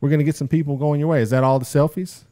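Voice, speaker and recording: A man's voice: on the high side, fairly even intonation, racing, dark tone, dry recording, clean studio sound. A man hosting a casual podcast, upbeat as he reads out listener posts and plugs. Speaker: podcast host.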